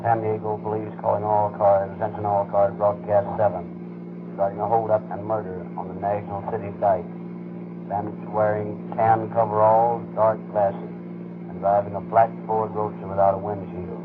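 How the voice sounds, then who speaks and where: An actor playing a male police radio dispatcher calling all cars, slow, clipped and official. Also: lowish voice, little pitch movement, over music, lightly acted. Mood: urgent. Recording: tinny radio dispatch with loud hum.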